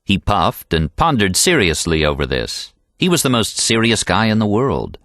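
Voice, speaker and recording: A man's voice: lowish voice, sing-song, normal speed, fairly bright tone, no echo, clean studio sound. An American man reading a literary novel aloud as an audiobook narrator.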